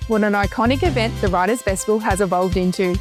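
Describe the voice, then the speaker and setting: A woman with an Australian accent presenting scripted news links in a bright, lively way. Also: medium pitch, quick pace, music in the background. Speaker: news host.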